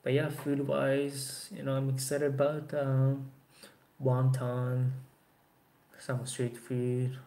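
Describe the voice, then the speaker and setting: A man's voice: medium pitch, monotone, slow, mellow. A man in his twenties whose first language is Japanese, chatting casually on a live stream with lots of "you know" fillers, filmed by a webcam in a small room. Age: 20s. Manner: rambling, frequent "you know" fillers, chin in hand. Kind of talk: casual live chat answering viewers. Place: small room with dark backdrop cloth, indoors. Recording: roomy echo, faint background hiss.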